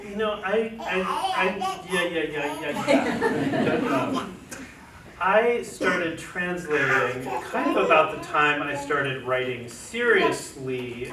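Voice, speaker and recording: Hesitant man in an echoey room. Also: fairly high pitch. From United States, family from Chile. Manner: hesitant, many fillers and restarts. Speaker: poet and translator on a panel. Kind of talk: casual panel talk. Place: lecture room. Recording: echoey room, distant mic, noisy.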